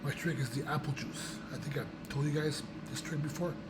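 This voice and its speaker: A young man with a voice gone raspy from a cold, chatting casually to his viewers in a rambling way with many restarts and fillers. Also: a little high, steady tone, fairly quick, heavy background noise. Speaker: vlogger. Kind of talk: casual chat to camera.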